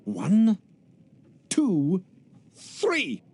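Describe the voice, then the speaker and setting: A man's voice: high voice, wildly swooping pitch, very slow, noisy background, full character voice. A man playing a flamboyant, self-important British wizard teacher in a video game, theatrical and pompous as he instructs. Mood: brisk.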